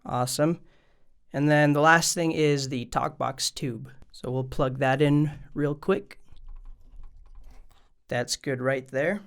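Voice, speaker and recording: A man with a fairly high, clean and smooth voice talks through a casual how-to video. He explains things step by step in an easygoing, conversational way, speaking straight to his viewers. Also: slow, long pauses. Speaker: music gear video maker and presenter.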